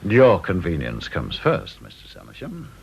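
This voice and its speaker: An actor playing a needling senior British official in a radio drama, dry, sardonic and mock-polite. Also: male voice, deep voice, huge pitch swings, normal speed, vocal fry, husky, full character voice. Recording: dull old radio recording.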